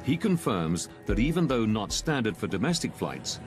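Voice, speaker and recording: A man reading scripted documentary narration in a measured, serious manner. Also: fairly low pitch, big pitch swings, over music.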